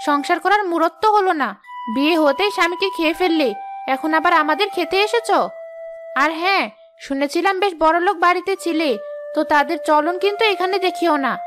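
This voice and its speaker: A woman's voice: extremely high pitch, a little fast, music in the background. A woman voicing a spiteful, greedy, bossy sister-in-law in a scolding cartoon style. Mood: scornful. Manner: scolding, taunting. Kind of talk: Bengali moral-story cartoon voice acting.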